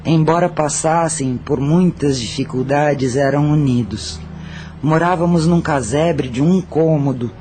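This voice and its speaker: A man's voice: fairly high pitch, a little slow, darkish tone, a little hiss or hum, natural voice. A man playing a murdered brothel madam who unburdens herself reflectively in an audio drama. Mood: burdened, reflective. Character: murdered brothel madam with intuitions, burdened, confessional. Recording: music under the voice.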